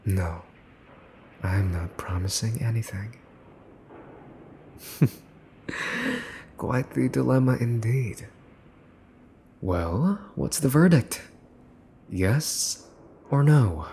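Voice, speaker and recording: Man playing a slow-talking, smug young mafia heir. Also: medium pitch, lively intonation, mellow, a little hiss or hum. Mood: teasing menace. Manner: teasing, smooth-talking, condescending, pausing for effect. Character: smug teasing mafia boss's son, possessive, coolly threatening. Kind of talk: ASMR boyfriend roleplay, scripted monologue to listener.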